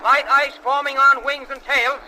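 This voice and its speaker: Cocky old-time drama pilot, really high sharp voice, clipped and bossy, slangy. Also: male voice, level tone, normal speed, slight background noise, full character voice, low-quality recording. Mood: urgent, brave. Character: cocky, reckless male veteran pilot, self-sacrificing. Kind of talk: vintage aviation drama acting.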